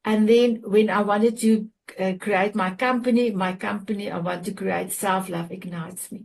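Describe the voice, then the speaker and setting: A middle-aged woman with a South African accent, a native Afrikaans speaker, hosting a group chat and sharing her story in an earnest, halting way with fillers and restarts. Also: medium pitch, fairly even intonation, vocal fry, darkish tone. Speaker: show host. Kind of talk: casual heartfelt sharing on a video call.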